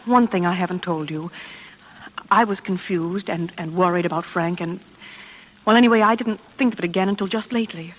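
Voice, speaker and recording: An actress playing an anxious, devoted young wife in a 1940s radio drama, speaking earnestly and hesitantly. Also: female voice, lowish voice, brisk, muffled recording, close to an everyday voice. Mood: subdued, forlorn. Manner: hesitant, earnest delivery with faltering pleas. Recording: old 1940s radio broadcast with music.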